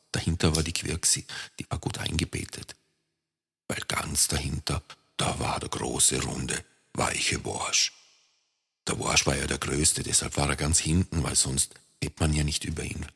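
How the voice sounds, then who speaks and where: A male storyteller with a low, creaky voice. He narrates for children in a playful, expressive way and takes his time. The recording carries a long reverb. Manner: playful, repetitive, addresses the young listener. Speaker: author and storyteller.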